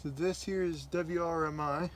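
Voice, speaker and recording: A man's voice: a little high, fairly even intonation, moderate pace. A man presenting as a radio hobbyist, talking casually and plainly as he gives a hands-on demonstration. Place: indoors at night beside radio and laptop. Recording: home video with background noise.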